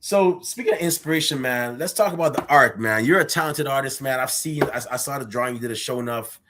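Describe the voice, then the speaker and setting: A man from Guyana hosting a casual interview, telling stories in an animated way and often saying "man". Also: mid-range voice, fast talker, noisy background. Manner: animated storytelling, lots of "man" and restarts. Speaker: podcast host.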